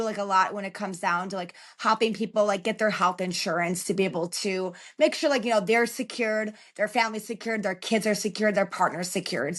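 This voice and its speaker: A woman's voice: mid-range voice, fairly bright tone. A woman in her thirties from Chicago, a guest on a podcast, talking in a chatty, encouraging way with a lot of filler words. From Chicago, United States. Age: 30s. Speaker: podcast guest, relocation consultant. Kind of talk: casual interview talk.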